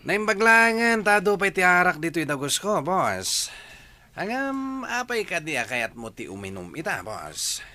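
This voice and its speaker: A man playing an eager, fawning henchman and drinking buddy in an Ilocano radio drama, with a sharp, tense voice and music underneath. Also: high voice, big pitch swings, a little slow, full character voice.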